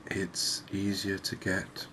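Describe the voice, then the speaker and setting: Slow, low-pitched man doing ASMR game commentary. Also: monotone, noisy background. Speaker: host of a gaming series.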